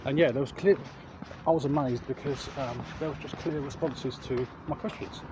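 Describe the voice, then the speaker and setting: A man's voice: mid-range voice, fairly even intonation, normal speed. A man chatting casually to viewers as a vlog host, hesitant and often correcting himself mid-sentence. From England. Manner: hesitant, self-correcting, repeats words. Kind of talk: casual rambling chat to viewers. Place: outdoors, walking along urban streets. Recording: outdoor recording with traffic noise.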